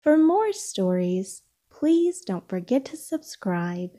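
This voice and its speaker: A woman reading a children's storybook aloud, with deliberate, expressive phrasing for young listeners. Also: medium pitch. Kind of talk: read-aloud storybook narration.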